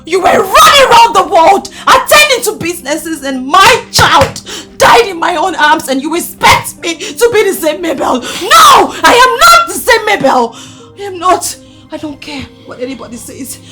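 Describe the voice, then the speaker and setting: A woman's voice: high-pitched, animated, fairly quick. An actress playing an anguished, defiant grieving mother with a Nigerian accent in a film drama, pleading and repeating herself. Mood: anguished, angry. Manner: pleading, repetitive, emotional. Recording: music playing behind the voice.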